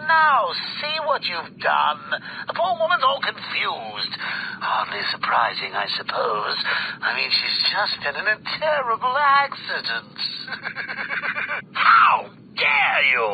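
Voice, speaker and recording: An actor playing a cackling, unhinged male clown villain who taunts his nemesis in a theatrical, mocking way, swinging between whispers and shouts. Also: sits high, slight background noise, exaggerated voice, rough recording. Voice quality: gravelly, with manic laughter. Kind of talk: video game voice acting.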